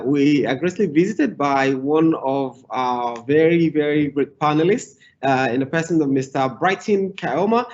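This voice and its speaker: A man moderating a webinar panel, thanking speakers warmly and introducing guests formally. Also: fairly high pitch. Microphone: video call. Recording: dull lo-fi video call audio.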